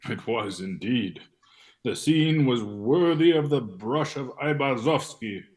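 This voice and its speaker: A man playing a meek, wistful hanger-on in an amateur play reading, in a plaintive, deliberate manner. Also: mid-range voice, expressive. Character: meek, wistful male hanger-on in rural Russia.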